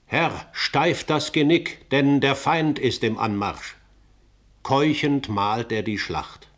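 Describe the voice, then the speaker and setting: An actor playing a chorus voice that announces a messenger in measured verse. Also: male voice, mid-range voice, fairly bright tone. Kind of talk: classical verse radio drama.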